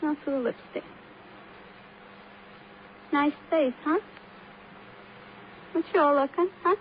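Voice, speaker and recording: High-voiced, brittle American teenage girl. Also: slow pace. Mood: dreamy, brittle. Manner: dreamy, rambling, flippant. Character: frail, brittle, defiant teenage working girl, female. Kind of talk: 1950s radio crime drama acting. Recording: muffled old radio recording, faint noise.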